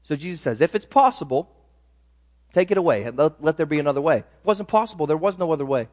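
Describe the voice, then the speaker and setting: A man's voice: on the high side, animated, fast, a little mellow, big-room sound. A man preaching a sermon as pastor, talking in a conversational way with frequent restarts and asides.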